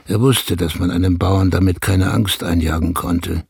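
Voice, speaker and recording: A very husky, heavily creaking old herdsman recalls his life in a radio play. He is embittered but restrained, telling it reflectively and close in a dry space. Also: deep voice, fairly even intonation, moderate pace, darkish tone, no room sound, full character voice. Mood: bitter, reflective. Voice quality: husky, gravelly. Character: Tanabai, embittered old Kyrgyz herdsman looking back on youth. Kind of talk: radio drama monologue.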